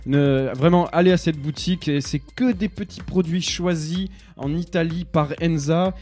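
A man's voice: on the high side, normal speed, a little mellow. A man hosting a casual interview, jovial and joking with his guest. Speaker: radio host.

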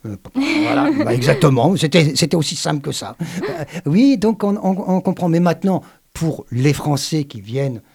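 A man hosting a radio interview in the studio, talkative and lively, with many fillers. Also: fairly high pitch, moderate pace, husky. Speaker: radio host.

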